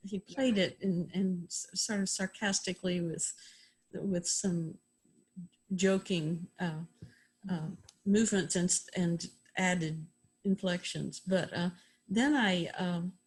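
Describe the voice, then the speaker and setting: A woman with a slightly husky voice making casual remarks as a participant in a video-call discussion. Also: fairly low pitch, steady tone, takes it slowly, poor audio.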